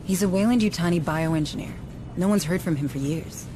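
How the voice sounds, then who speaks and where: An actress playing a stern, composed corporate administrator in video game dialogue, with a brisk, challenging manner. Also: female voice, fairly low pitch, background noise, close to an everyday voice. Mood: reflective, businesslike. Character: stern, composed corporate administrator, a duty-minded adult woman.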